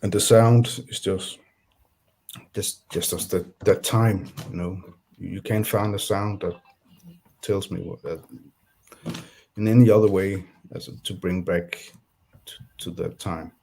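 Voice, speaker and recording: A man with a Danish accent, speaking English as a second language, talking about his beat production as a guest in a casual interview, pausing often to find his words. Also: low-pitched, steady tone, creaky, dark tone, low-quality recording. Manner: halting, searching for words.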